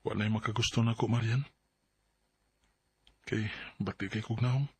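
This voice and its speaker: A man's voice: fairly low pitch, steady tone, unhurried, a little mellow, husky, dry recording, full character voice. An actor playing a sad, self-doubting young man in a radio drama, plaintive and hesitant, with a Bisaya Filipino accent. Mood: dejected. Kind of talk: sentimental radio drama acting. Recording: music under the voice.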